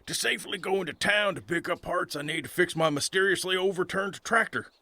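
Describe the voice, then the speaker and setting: A man acts out a stubborn country farmer with a drawl. His voice is bright and forceful with a gravelly creak, recorded close and dry. It is folksy comic western audio drama. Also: high-pitched, brisk, dry recording. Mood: earnest, puzzled.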